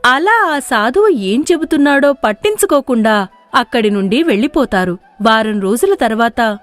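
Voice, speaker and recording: A woman narrates a story in a fairly high voice. The delivery is scripted storybook narration. Music plays beneath her. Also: lively intonation, moderate pace. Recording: music playing behind the voice.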